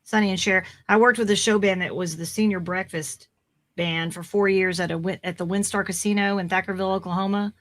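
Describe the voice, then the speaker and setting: A woman in her forties, a voice actor guest on a podcast, chatting in a rambling way with fillers and restarts, her voice slightly raspy. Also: mid-range voice, fairly even intonation, fairly quick. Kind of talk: casual podcast interview.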